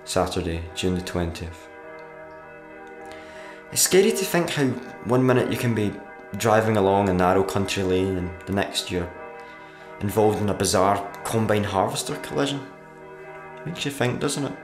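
A low-pitched male voice from an actor plays a weary struggling writer in a radio play. He delivers his diary in a dry, morbid deadpan. The sound is roomy, with a noticeable echo. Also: moderate pace, music in the background, lightly acted. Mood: deadpan, gloomy. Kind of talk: audio diary monologue, radio play acting.

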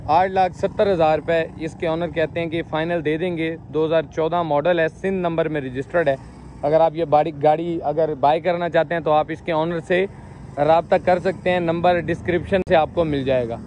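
A man in his thirties walking around a used car market outdoors, showing cars and chatting with owners in brisk, repetitive patter. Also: a little high, steady tone, darkish tone, slight background noise. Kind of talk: walkaround car showcase with owner chats.

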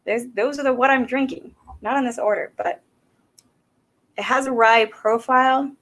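A woman's voice: a little high, fairly even intonation, normal speed, fairly bright tone. A woman in her thirties from South Carolina, hosting a casual whiskey tasting livestream from a small room at home, rambling and chatty with lots of fillers. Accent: Southern American. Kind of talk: casual live-stream chat. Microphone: desk microphone on a boom arm.

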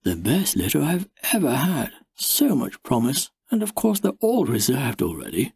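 A proud woman dog breeder, played by a male narrator gushes over her litter in a showy, selling tone. The pitch moves around freely with a slightly husky edge, in storybook style. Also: huge pitch swings, normal speed, darkish tone, dry recording, full character voice. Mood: gushing. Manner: gushing, showy sales tone.

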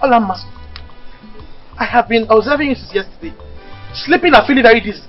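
A stern, prying mother, played by a woman, pressing her daughter with question after question. Her voice sits a little high, and the sound is dull and lo-fi. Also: a little fast, soft background music, lightly acted. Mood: probing. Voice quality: probing, insistent.

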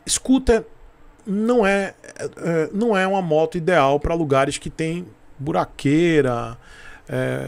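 A man in his thirties hosting a motorcycle live stream, giving casual advice with animated, emphatic delivery and friendly slang, close to a desk mic in a small home studio. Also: fairly high pitch. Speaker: motorcycle channel host. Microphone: desk microphone close in front.

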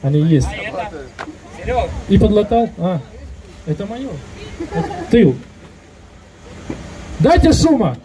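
A man in his thirties hosting a car audio contest outdoors on a handheld mic, loudly calling to the crowd and announcing results. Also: high-pitched, very animated, slow, long pauses, rough recording. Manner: loud and rousing, calling to the crowd. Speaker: event host.

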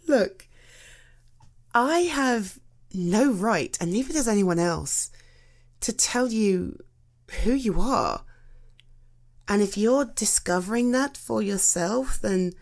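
A British woman playing a supportive, gently teasing friend in an ASMR roleplay, talking in a hesitant, reassuring, conversational way. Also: on the high side, heavily acted. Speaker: audio roleplay performer.

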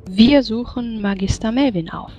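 A woman's voice: fairly high pitch, moderate pace, mellow, highs cut off, lightly acted. A woman playing a proper, concerned female adventurer in a tabletop game, speaking formally and politely. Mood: resolute. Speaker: player. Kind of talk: in-character tabletop roleplay. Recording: music behind the voice.

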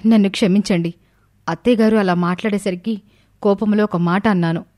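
A woman voicing a devoted, sensible young wife in an animated story, earnest and reassuring. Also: medium pitch, brisk, close to an everyday voice. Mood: apologetic, concerned.

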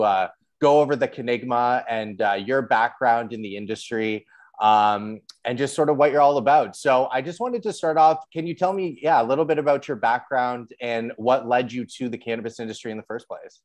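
A man's voice: mid-range voice, normal speed. A man in his thirties hosting an interview on a video call, friendly and a little hesitant, repeating words as he goes. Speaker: interviewer host. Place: home office.

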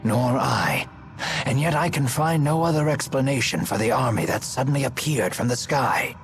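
Game casting: man as wise elderly gentleman strategist, mid-pitched, courtly and precise, faint music behind. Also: vocal fry, close to an everyday voice. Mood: grave, thoughtful. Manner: formal, measured, precise. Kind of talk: English video game voice acting.

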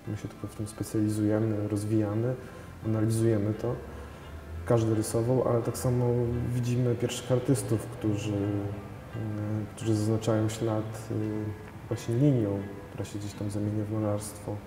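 A man in his thirties, a painter, answers interview questions thoughtfully, with many pauses, fillers and restarts. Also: lowish voice, level tone, over music. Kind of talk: gallery interview. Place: large gallery hall with paintings. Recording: long hall reverb, faint noise.

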